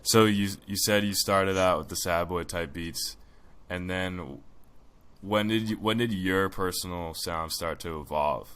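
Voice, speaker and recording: A man interviewing a musician, asking relaxed, curious questions. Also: deep voice, measured pace, clear, resonant tone. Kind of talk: casual interview.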